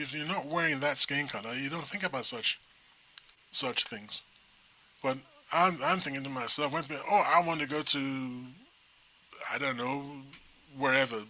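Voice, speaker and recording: A middle-aged man from Nigeria talks casually on a talk show, with a very bright, sharp tone. He rambles and restarts, and his pitch sits in a middle range. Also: poor audio. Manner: rambling, animated storyteller with restarts. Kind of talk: casual chat.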